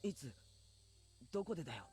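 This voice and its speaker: An anxious, intense teenage anime boy, pitched low for a female voice and swinging widely in tone. It is visual novel dialogue over faint music. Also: big pitch swings, very fast, mellow. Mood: pressing, uneasy. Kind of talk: Japanese visual novel voice acting.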